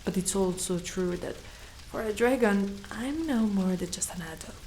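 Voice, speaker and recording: A woman voicing a proud, fiercely protective female dragon knight in an ASMR roleplay, speaking deliberately and often breathy or whispered. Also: medium pitch, a little mellow, a little hiss or hum, close to an everyday voice, poor audio. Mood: weary, remorseful. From Italy.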